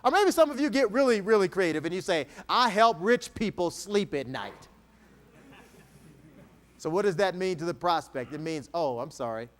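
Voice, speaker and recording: A man from Texas giving a motivational keynote speech to an audience, playful and joking, slowing down for emphatic lines. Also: high voice. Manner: animated, dramatic pauses, slow emphatic dictation. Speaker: motivational speaker.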